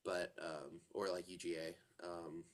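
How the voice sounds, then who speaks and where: A man's voice: sits low, little pitch movement, unhurried, a crowd in the background. A congested man co-hosting a casual college football podcast, rambling with plenty of fillers and restarts. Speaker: podcast co-host. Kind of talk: casual sports chat.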